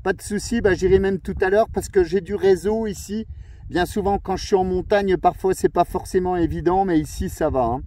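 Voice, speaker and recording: A man's voice: high voice, a little fast, mellow. A man chatting casually as a radio operator, easygoing, with frequent verbal tags. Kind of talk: casual radio contact chat.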